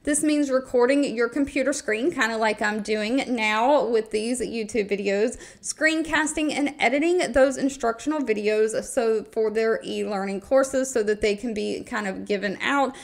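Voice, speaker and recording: Higher-pitched woman hosting an informal explainer video. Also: moderate pace, gravelly, few pauses. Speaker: video host presenting remote job listings. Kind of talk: informal scripted screen-recording narration.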